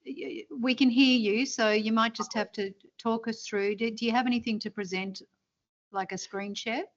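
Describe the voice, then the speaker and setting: A woman's voice: fairly high pitch, steady tone, moderate pace. A woman chairing a formal hearing, polite and procedural with occasional fillers. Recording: lo-fi video call audio.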